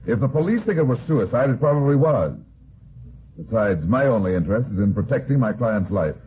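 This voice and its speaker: A man's voice: mid-range voice, sing-song, a little fast, slightly rough. A man acting a blunt, probing private detective, in clipped 1950s radio drama style. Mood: probing, accusing. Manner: clipped, dry, insistent questioning. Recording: tinny, noisy 1950s radio recording.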